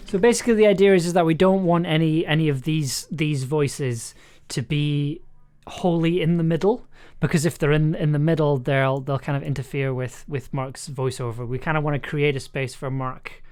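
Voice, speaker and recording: Live-stream editor, middle pitch. Casual, halting commentary, talking through the work as it happens. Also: fairly even intonation, darkish tone, clean tone. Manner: halting, thinking aloud while working. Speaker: streamer and audio drama editor.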